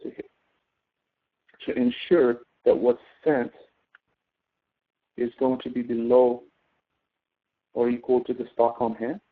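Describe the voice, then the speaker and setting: A man's voice: mid-range voice, level tone, takes it slowly, pauses often. Male tutor on a tinny phone-like line. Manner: explanatory, often checks understanding with tag questions. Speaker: instructor, tutor. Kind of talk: step-by-step spreadsheet tutoring.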